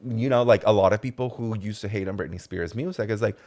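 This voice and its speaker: A man's voice: lowish voice, fast talker, darkish tone. A man hosting a podcast, gushing and sassy in casual chat. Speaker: podcast host.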